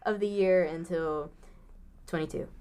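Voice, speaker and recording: A woman's voice: on the low side, fairly even intonation, a little slow, warm tone. Laid-back young woman podcast co-host. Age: 20s. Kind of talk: casual chat.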